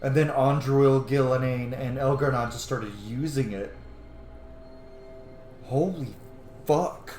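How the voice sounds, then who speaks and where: A man's voice: medium pitch, slow, long pauses, a little mellow, slight background noise. A man hosting a gaming channel, giving informal, sweary let's play commentary. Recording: roomy echo, game music behind. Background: video game music.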